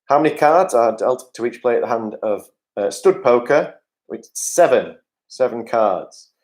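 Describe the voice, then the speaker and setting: A man's voice: mid-range voice, darkish tone. Quiz host: man, jokey and chatty, reading questions and answers over a video call. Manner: jokey, chatty asides to players, reads questions aloud.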